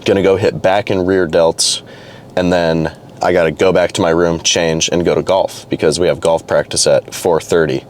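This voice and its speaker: Stuffed-up young man with a deep voice. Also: level tone, moderate pace, a little hiss or hum. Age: young adult. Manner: loose and rambling, with many restarts and fillers. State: hoarse and stuffed up from a cold. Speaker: fitness vlogger. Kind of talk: casual vlog talk to camera.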